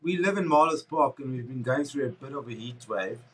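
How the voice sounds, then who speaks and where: A middle-aged man puts relaxed questions to his guest. He prompts gently and keeps an easygoing tone. His voice sits back from the microphone. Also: medium pitch, a little fast, far from the mic, a little hiss or hum, rough recording. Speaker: host and interviewer. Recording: voice set back from the mic.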